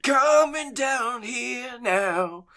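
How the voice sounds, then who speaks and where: A man voicing a possessed novelty fish that croons drawn-out song lines as a comic demon. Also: very high-pitched, lively intonation, sharp tone, faint music behind, pitched above the performer's own voice, heavily acted. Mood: eerie, singing.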